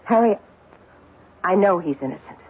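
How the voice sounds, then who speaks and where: Persistent detective's wife, American, high lively pitch, earnest, insistent, dull lo-fi seventies radio drama. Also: female voice, normal speed, breathy edge. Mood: earnest, confessing. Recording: dull lo-fi 1970s radio recording.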